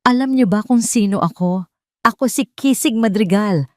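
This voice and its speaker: A woman voicing a proud, desperate jobless man who once ran a hotel, speaking in loud, indignant outbursts. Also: medium pitch, moderate pace, dry recording, clean studio sound, voice pushed up, natural voice.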